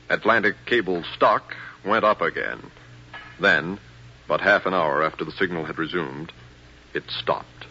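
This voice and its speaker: A man narrating a scripted 1950s radio drama in a measured, dramatic storytelling manner. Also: low voice, a little hiss or hum. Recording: muffled 1950s radio recording, loud music.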